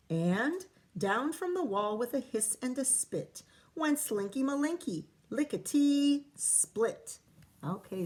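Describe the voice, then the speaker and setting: A woman teacher reading a picture book aloud to children, pausing to ask questions and count along. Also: mid-range voice. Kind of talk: children's read-aloud with commentary.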